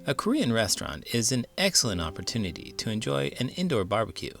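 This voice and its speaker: A man reading a children's book aloud as an expressive storyteller, in the manner of an elementary school teacher. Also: mid-range voice, fast talker, gravelly, faint music behind.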